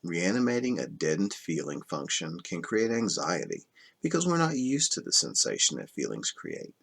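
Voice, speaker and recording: A man reading a self-help book aloud as scripted narration, in a measured, instructional manner that stresses key terms. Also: sits low, wildly swooping pitch, creaky, fairly bright tone, husky. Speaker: audiobook reader.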